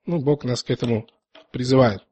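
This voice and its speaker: A man preaching in a conversational, earnest way and reading scripture, pausing often, a little unwell with a dry mouth. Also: mid-range voice, darkish tone, slightly rough. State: slightly ill, dry mouth, clearing throat.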